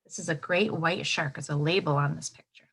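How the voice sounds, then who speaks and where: A woman teacher reading aloud to young children in an expressive way, pausing to add asides and prompt them with questions. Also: sits low, gravelly, breathy edge. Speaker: elementary school teacher.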